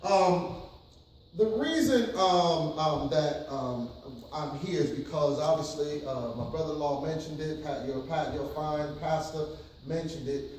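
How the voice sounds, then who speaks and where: A man's voice: fairly high pitch, unhurried, a little mellow, far from the mic, a little hiss or hum. Hesitant man in an echoey hall. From New York City. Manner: hesitant, many ums, audience call-and-response. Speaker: pastor giving an insurance workshop. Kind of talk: casual church workshop talk. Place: church hall with audience.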